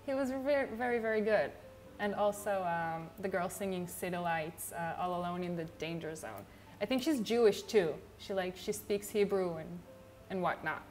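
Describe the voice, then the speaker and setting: A young woman from Israel with an Israeli accent, chatting casually on a livestream in a chill, low-energy way with lots of fillers. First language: not English. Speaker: streamer.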